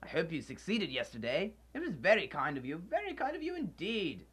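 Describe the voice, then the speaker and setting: A woman playing an old male miser reborn as a giddy, generous soul in a radio drama, exclaiming and repeating phrases excitedly. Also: fairly low pitch, a little fast, slightly rough. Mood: humbly grateful.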